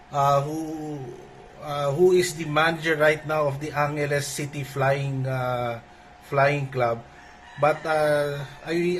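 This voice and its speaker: Higher-voiced Filipino man, halting delivery. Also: a bit bright. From Philippines. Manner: halting, searching for words. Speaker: amateur radio operator. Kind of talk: casual ham radio contact. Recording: faint background noise.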